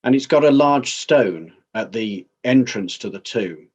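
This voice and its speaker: A man playing a grave, dignified councillor giving measured, formal testimony in a dramatized inquest, in slightly dull sound. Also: mid-range voice, animated, darkish tone. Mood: grave, factual. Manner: measured, formal, plain-spoken.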